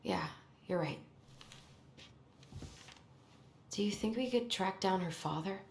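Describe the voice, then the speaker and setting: An actress playing an earnest, determined young woman in a video game, soft-spoken and a little hesitant. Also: medium pitch, normal speed, reverberant, a little hiss or hum, close to an everyday voice. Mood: curious. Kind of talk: naturalistic game cutscene dialogue.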